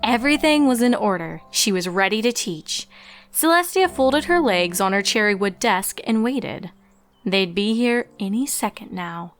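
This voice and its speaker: A woman's voice: mid-range voice, expressive, normal speed, faint music behind. A woman reading a story aloud as narrator, in scripted storytelling style. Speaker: fan fiction reader and narrator.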